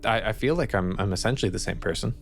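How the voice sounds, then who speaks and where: An earnest, doubting woman reporter in a tabletop roleplay, acted out by a man in his own low register. The delivery is hesitant and polite, words often repeated as the questions form. Music plays behind. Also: fast talker, vocal fry. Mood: unsure. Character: earnest, uneasy young woman reporter, idealistic but doubting. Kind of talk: improvised tabletop roleplay dialogue.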